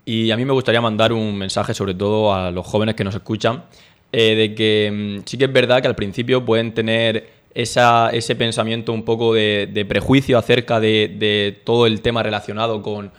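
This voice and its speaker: A young man of eighteen speaking thoughtfully and a little hesitantly as a radio guest. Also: fairly low pitch. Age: young adult. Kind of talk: radio interview.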